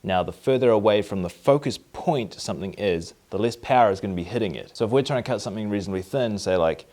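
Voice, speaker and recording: A man in his thirties with a New Zealand accent hosts a maker vlog from his garage workshop. He explains things upbeat and clearly while gesturing with his hands. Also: fairly low pitch, lively intonation, fast talker, a little mellow. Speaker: maker video host. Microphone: camera at mid-distance, framed from the waist up.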